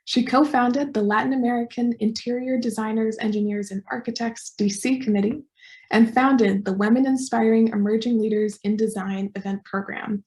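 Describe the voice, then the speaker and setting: Woman with a high, mellow voice. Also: low-quality recording. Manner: measured, formal introduction reading long lists. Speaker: symposium host. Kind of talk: reading speaker introductions. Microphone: video call mic.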